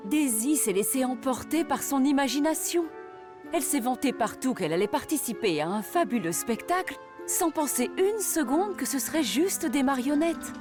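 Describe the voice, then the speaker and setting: Lady narrator for a kids cartoon, lively storybook style, music under it. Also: sits high, moderate pace. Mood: storytelling. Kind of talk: scripted children's story narration.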